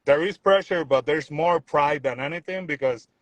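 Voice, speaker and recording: A man's voice: on the high side, normal speed, dry recording. Chill young guy from puerto rico, talks loose and repeats himself, dry close sound. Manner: relaxed, rambling, repeats words, says "you know what I'm saying". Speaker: musician guest. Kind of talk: casual interview chat.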